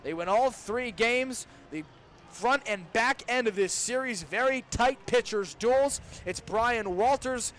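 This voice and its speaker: A young man doing live play-by-play baseball commentary for radio, describing each pitch in detail and surging with excitement on big plays. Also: takes it slowly, soft background music. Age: young adult. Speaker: student baseball play-by-play announcer. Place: outdoor baseball stadium broadcast. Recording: noisy outdoor ballpark ambience.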